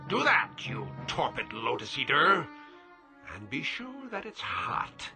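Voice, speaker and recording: A man's voice: fairly high pitch, wildly swooping pitch, takes it slowly, bright, forceful tone, faint music behind, exaggerated voice. An actor playing a cruel, domineering old uncle in a radio drama, imperious and sneering as he delivers florid insults. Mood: scornful, bullying.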